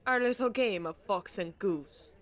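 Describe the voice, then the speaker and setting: A woman's voice: medium pitch, a little slow, close to an everyday voice. Folksy market baker played by a woman. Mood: friendly, wry. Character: friendly, teasing female market baker hawking meat pies. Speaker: voice actor. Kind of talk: fantasy audio roleplay acting.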